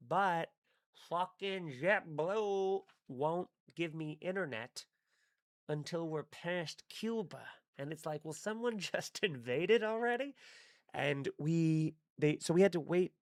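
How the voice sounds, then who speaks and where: A man's voice: a little high, no echo. Profane rambling man, dry sound. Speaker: comedian hosting a live stream. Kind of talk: casual live-stream chat reading chat comments.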